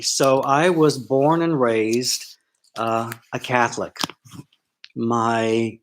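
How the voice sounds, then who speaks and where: A middle-aged man from Florida, a sociology professor, speaking live to a small online audience. He talks candidly and loosely, with frequent ums and restarts, at an ordinary pitch. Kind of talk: live-streamed personal talk.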